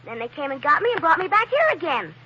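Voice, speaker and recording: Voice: high voice, very animated, quick pace, pushed and bright, muffled recording, heavily acted. An actor playing a mischievous, talkative little boy in a 1940s radio drama, with naive, chatty phrasing. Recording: old radio recording, faint noise.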